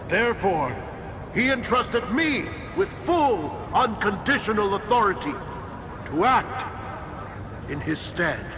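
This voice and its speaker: A grandiose villainous sorcerer regent for a fantasy game. His male voice is fairly high yet creaky, and he speaks very slowly, with theatrical, manipulative authority. Music plays underneath. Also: background noise, full character voice. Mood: mock grief, pleading. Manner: theatrical, deliberate, commanding oratory. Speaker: voice actor in a game cutscene. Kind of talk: theatrical scripted game drama.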